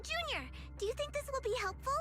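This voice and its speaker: A woman's voice: extremely high pitch, lively intonation, fast talker, sharp tone, faint music behind, heavily acted. A woman voicing a small, eager, chirpy girl sidekick in an anime video game. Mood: curious.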